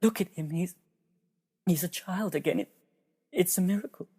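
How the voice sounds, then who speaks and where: A man playing an earnest, awed and grateful painter in an audio drama, deferential, with a husky voice. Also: high voice, moderate pace, dark tone. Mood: amazed.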